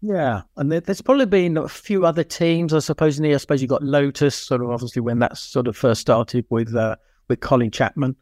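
A man's voice: medium pitch, a little fast, darkish tone, no echo, clean studio sound. A middle-aged or older man co-hosting a podcast, chatting casually about motorsport in a rambling way, repeating words for emphasis. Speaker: podcast co-host.

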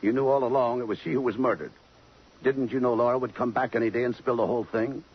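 A man's voice: medium pitch, fairly even intonation, fast, breathy edge, lightly acted. An actor playing a tough American police lieutenant in 1950s radio drama, blunt and pressing as he questions people. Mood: hard, accusing. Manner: blunt, pressing interrogation, slangy. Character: tough, persistent male police detective lieutenant. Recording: muffled, hissy 1950s radio broadcast.

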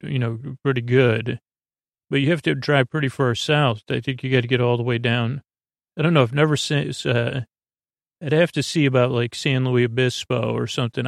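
A man hosting a sleep podcast in a meandering, halting monologue that keeps drifting into digressions and false starts. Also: mid-range voice, vocal fry, dry recording, clean studio sound. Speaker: podcast host.

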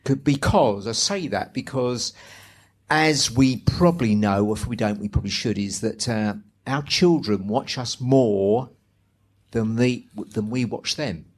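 A man moderating a book event, speaking conversationally with halting, ruminative phrasing. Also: mid-range voice, darkish tone.

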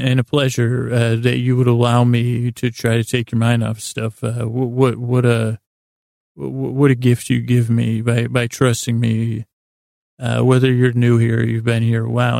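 A man hosting a sleep podcast, rambling in a meandering, hesitant, deliberate way with a self-deprecating streak. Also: mid-range voice, creaky, a little mellow, dry recording, studio quality. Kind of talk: rambling bedtime monologue.

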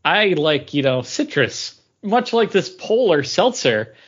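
A man with a slightly high voice chats casually as a podcast co-host. He rambles playfully from point to point. The audio is a bit dull and lo-fi. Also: very animated, slow pace, husky. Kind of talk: casual chat.

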